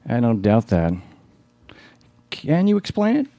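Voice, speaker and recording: An actor playing a blunt, skeptical, penny-pinching husband in a live radio play, with wry, slangy talk. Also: male voice, mid-range voice, huge pitch swings, a little slow, dark tone, no echo. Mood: scornful, combative.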